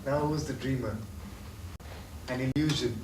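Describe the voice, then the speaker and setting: An actor playing a tormented, guilt-ridden lover in a theatrical stage drama, with a declamatory delivery that moves between impassioned and brooding. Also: male voice, mid-range voice, measured pace, close to an everyday voice. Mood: bitter, subdued. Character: tormented, guilt-ridden male lover, doubting his own memory. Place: theatre stage, distant microphone. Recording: echoey theatre recording from far off, faint noise.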